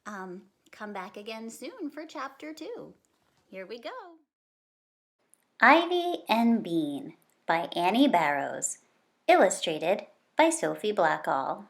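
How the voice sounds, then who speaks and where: A woman's voice: mid-range voice, big pitch swings, slow, creaky. A woman hosting a read-aloud, narrating a storybook expressively and talking directly to young children.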